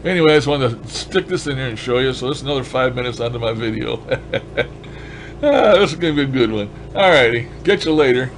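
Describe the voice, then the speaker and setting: A man with a medium-pitched voice talks casually through a hobby demonstration. He is colloquial and enthusiastic, explaining things in plain folksy terms. A light hum and hiss sits behind him. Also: animated, a little fast. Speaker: ham radio hobbyist vlogger. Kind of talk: casual rambling demonstration of a radio gadget.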